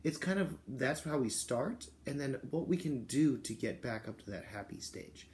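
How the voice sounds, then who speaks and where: A man's voice: mid-range voice, lively intonation, normal speed, a little mellow, a little hiss or hum. A man speaking as a kindergarten teacher, explaining and reading aloud to young children and parents.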